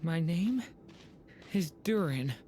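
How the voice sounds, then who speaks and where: A man voicing a lost, frightened young dragon in a game, halting and bewildered. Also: a little high, animated, dark tone, slight background noise. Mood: dazed, lost. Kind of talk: dramatic game voice acting.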